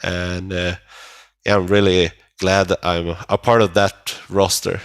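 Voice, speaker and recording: A man with a Swedish accent, a native Swedish speaker, chatting casually as an interview guest in halting phrases. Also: low voice, flat delivery, dry recording, clean studio sound. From Sweden. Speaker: metal band vocalist, interview guest.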